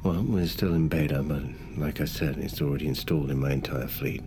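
An actor playing a wealthy middle-aged magnate, speaking formally in a measured, halting way. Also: male voice, extremely low pitch, warm tone. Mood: assured, explanatory. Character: wealthy, controlled, grave male business magnate. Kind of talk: naturalistic audio drama acting.

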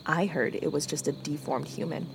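An actress playing a foul-mouthed slacker friend at the wheel, dry, pedantic and offhand. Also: female voice, sits low, fairly even intonation, moderate pace, gravelly, slight background noise. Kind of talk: naturalistic horror audio drama acting.

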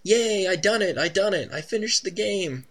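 A sweet, eerie little girl chirps in a raised, sing-song voice, as a man puts her on while reading a story game. Her tone is bright and a bit gravelly underneath. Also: sits high, expressive, moderate pace, pitched above the performer's own voice, heavily acted. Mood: gleeful.

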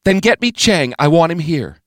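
A man's voice: a little high, big pitch swings, moderate pace, no echo, studio quality, pitched above the performer's own voice. A man voicing a stern, commanding woman president. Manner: clipped, decisive orders. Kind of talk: audiobook character voice.

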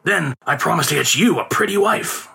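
A man's voice: a little high, animated, normal speed, vocal fry, pushed and bright, voice pushed up, heavily acted. A man putting on a fretting old conjurer. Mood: pleading. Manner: anxious, wheedling, theatrical. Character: fretting old male street conjurer, pleading.

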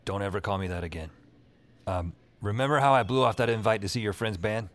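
An actor playing a world-weary night-shift dispatcher in a noir audio drama, with a wry, deadpan manner. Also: male voice, fairly low pitch, a little fast, natural voice. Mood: dry, deadpan. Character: world-weary male night-shift emergency dispatcher who sees strange things.

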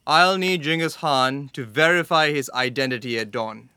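A man's voice: medium pitch, normal speed, sharp tone, clear, resonant tone, no echo, lightly acted. A man playing a stern, scornful young camp guard in an audio drama, with a formal, curt, intense manner.

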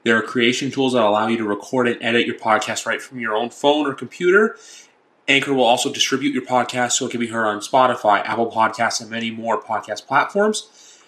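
A man's voice: medium pitch, fairly quick, bright, forceful tone. A man reading a scripted ad in an upbeat, polished way. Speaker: ad announcer. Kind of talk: scripted ad read.

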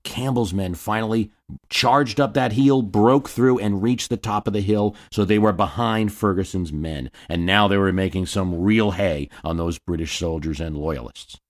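A man's voice: fairly low pitch, moderate pace. A man hosting a history podcast, telling the story in a scripted narration with wry asides. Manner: storytelling, dramatic readings of quotes.

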